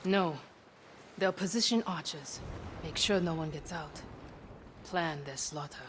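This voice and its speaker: A woman's voice: low-pitched, a little slow, background noise. An actress playing a proud, cool renegade woman archer in a video game, with a dry, clipped, self-assured delivery. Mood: cool, wry. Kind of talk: dramatic video game acting.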